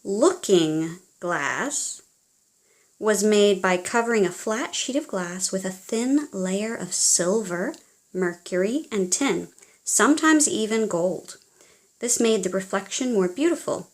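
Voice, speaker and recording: A woman reading a children's storybook aloud in a theatrical, expressive way, as if speaking directly to young listeners. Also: mid-range voice, slow pace. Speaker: children's audiobook reader.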